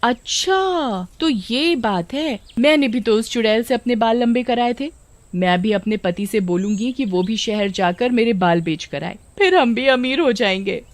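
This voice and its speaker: A woman voicing expressive, exclamatory village women in a children's cartoon story. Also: on the high side, measured pace, no echo. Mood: chatty, curious. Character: village women, eager, chatty and indignant.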